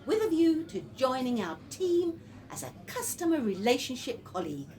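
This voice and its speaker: High, lilting, officious corporate woman. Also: sing-song, normal speed, darkish tone. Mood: polite, official. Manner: official, scripted politeness. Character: formal, brightly corporate letter-writer from a call centre. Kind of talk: formal letter reading in a radio play.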